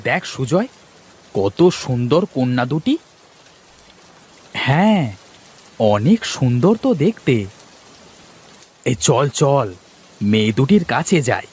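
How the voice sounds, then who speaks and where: A talking river frog from a cartoon fairy tale , played by a man. He is curious and friendly, with a raspy mid-range voice. His coaxing, exclaiming delivery bounces up and down. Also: mid-range voice, darkish tone. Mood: curious, excited. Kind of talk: Bengali cartoon story acting.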